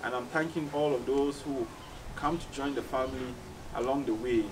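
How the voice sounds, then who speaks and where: A man with a Cameroonian accent giving reflective, measured interview answers as a musician, often repeating phrases for emphasis. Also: mid-range voice, fairly even intonation. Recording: distant mic, clearly noisy background ambience.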